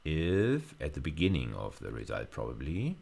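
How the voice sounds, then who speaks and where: A male university lecturer. His speech is creaky with vocal fry. He explains a live technical demonstration informally, pausing to think aloud as he goes. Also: a bass voice, wildly swooping pitch, a little mellow.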